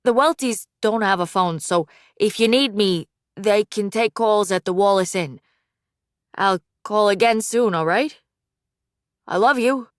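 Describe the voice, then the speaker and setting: A woman's voice: mid-range voice, unhurried, no echo, clean studio sound, lightly acted. A woman voicing a guilty eighteen-year-old son who hides his troubles behind forced cheer. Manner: hesitant, covering with forced cheer. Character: young man of eighteen, guilty and evasive.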